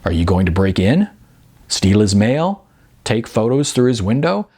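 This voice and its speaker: A wary young guy dragged along as sidekick , voiced by a man reading an audiobook. He sounds husky with a gravelly edge. His manner is dry, skeptical and grudging. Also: on the low side, sing-song, measured pace, darkish tone, exaggerated voice. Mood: worried.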